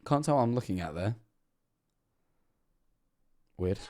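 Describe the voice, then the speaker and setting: A man's voice: lowish voice, expressive, a little slow, dark tone. A British man giving casual, off-the-cuff reaction commentary as a livestream host, joking and swearing in a sarcastic way. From Britain.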